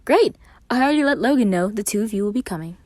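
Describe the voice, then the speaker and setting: A woman's voice: medium pitch, animated, fast talker, a little mellow, dry recording, voice pushed up, lightly acted. A woman voicing an eager, dramatic, exuberant young man, a fussy boyfriend, in an audio story. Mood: eager, cheerful.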